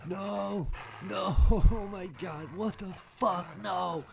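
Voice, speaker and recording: A man's voice: high-pitched, steady tone, slow pace, creaky, background noise, heavily acted. A man playing a grief-stricken young survivor, screaming in horror and desperation. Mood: horrified, desperate. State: screaming, distraught.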